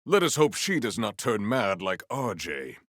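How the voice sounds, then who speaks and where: An actor playing a noble, earnest warrior hero in a video game, speaking in a pompous, formal and grandiose way. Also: male voice, fairly low pitch, very animated, a little slow, fairly bright tone, dry recording, clean studio sound. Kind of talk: video game character voice acting.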